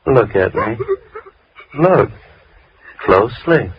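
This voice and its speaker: A menacing madman in an old radio horror play. His delivery is theatrical and gloating, swinging widely in pitch as he commands and sobs. The recording is dull and lo-fi. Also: animated, slow, a little hiss or hum. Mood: coaxing, menacing. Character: deranged, menacing villain hiding out, obsessed with forced bride. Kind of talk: 1930s radio horror acting.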